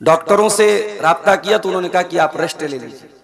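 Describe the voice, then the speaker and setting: A man preaching with a hoarse voice at a night village religious gathering, speaking in a measured, earnest way to the crowd. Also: high-pitched, expressive. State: hoarse, strained throat. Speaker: Islamic preacher. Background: crowd noise.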